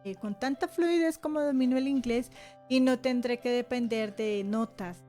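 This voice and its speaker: A woman's voice: on the high side, moderate pace, warm tone, soft background music. A woman co-pastor interpreting into Spanish, with small hesitations and fillers as she goes.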